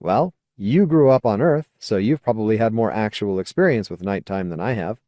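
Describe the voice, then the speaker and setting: Narrator as wary, hesitant man, varied pitch. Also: animated, normal speed, warm tone, no echo, muffled recording, lightly acted. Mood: skeptical. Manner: hesitant, questioning. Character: wary, awkward man, skeptical former idealist. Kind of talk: audiobook character dialogue.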